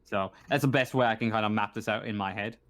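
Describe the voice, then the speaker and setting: A man's voice: fairly low pitch, fast talker, fairly bright tone, slight background noise. A man speaking as game master of a tabletop roleplaying game, casual, informal and joking.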